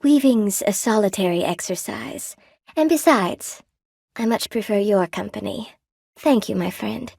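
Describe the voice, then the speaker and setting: A woman's voice: medium pitch, a little slow, vocal fry, a little mellow, no echo, clean studio sound. An actress voicing a friendly, wry spider weaver woman in a video game, chatty and coy, with a folksy, lightly theatrical delivery. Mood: playful, confiding, then bittersweet. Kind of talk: video game character dialogue. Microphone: close dry studio microphone.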